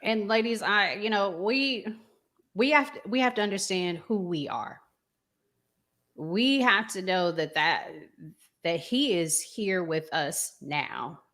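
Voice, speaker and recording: A woman hosting a casual discussion, talking in a measured, deliberate way with pauses. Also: medium pitch, fairly bright tone. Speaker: host.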